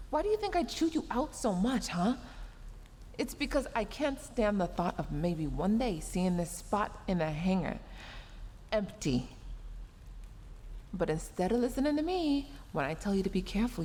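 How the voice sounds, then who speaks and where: A woman's voice: mid-range voice, natural voice. A woman playing a scolding but secretly caring young female mech engineer in a sci-fi audio roleplay, huffy, flustered and prone to stammering. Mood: tearful scolding. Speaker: voice actor. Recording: long reverb, clear background noise.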